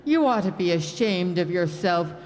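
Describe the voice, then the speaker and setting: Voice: medium pitch, animated, moderate pace, a little mellow, lightly acted. A performer voicing a stern, indignant woman passenger who scolds in a live radio play. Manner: scolding and prim. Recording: live stage with hall reverb.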